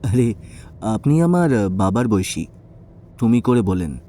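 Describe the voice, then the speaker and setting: An actor playing a polite, hesitant doctor in his forties, speaking haltingly and deferentially in an audio drama. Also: fairly low pitch, animated, warm tone. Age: 40s. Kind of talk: audio story acting.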